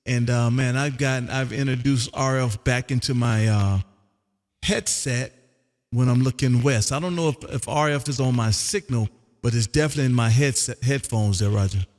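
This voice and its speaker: A man's voice: medium pitch, normal speed, a little mellow, in a large hall. An American man hosting a casual amateur radio chat in a folksy way, often repeating his phrases. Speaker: amateur radio operator and live stream host.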